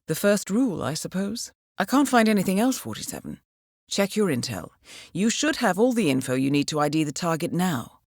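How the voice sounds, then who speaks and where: Cool formal woman handler briefing an assassin in a game, slightly low pitch, very dry close sound. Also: darkish tone, no room sound. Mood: composed, businesslike. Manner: measured, precise, formal, coolly understated. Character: composed, articulate female intelligence handler guiding an assassin. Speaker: mission handler. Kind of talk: scripted video game mission briefing.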